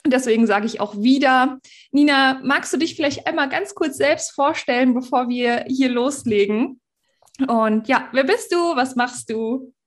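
A woman's voice: high voice, a little slow. A woman hosting a podcast in a casual, chatty, informal way with effusive wording. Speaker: podcast host and personal development coach. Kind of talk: casual podcast interview talk.

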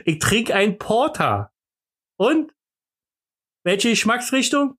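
A man's voice: extremely high pitch, very animated, slow pace, fairly bright tone, slightly rough. A man with a Berlin accent hosting a podcast, joking around in boastful, mock-pompous banter full of fillers and restarts. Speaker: podcast host.

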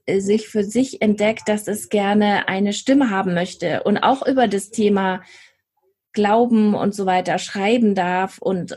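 A woman's voice: mid-range voice, a little mellow, husky. A woman co-hosting a podcast, chatting casually about books and hesitating often with fillers and restarts. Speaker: podcast co-host. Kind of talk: casual book chat.

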